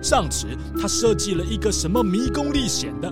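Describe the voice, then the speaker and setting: A performer voicing an excitable, theatrical rich male tycoon in a children's audio drama, with soft music underneath. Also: mid-range voice, brisk, natural voice. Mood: flustered. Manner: animated, exclaiming, theatrical.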